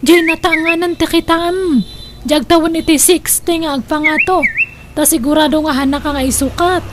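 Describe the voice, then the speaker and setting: Woman playing bossy teasing big sister, high voice, Ilocano accent, animated comedy radio drama delivery, noisy recording. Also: moderate pace, natural voice. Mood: coaxing, insistent. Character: pushy, teasing older sister urging younger sister toward old suitor.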